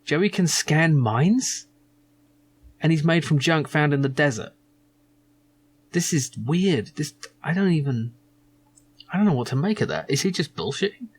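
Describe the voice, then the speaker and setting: A man with a husky voice hosting a casual video game playthrough, rambling through his asides. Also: on the high side, animated, a little slow, long pauses. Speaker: gaming video host. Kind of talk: casual playthrough commentary, reading game dialogue aloud.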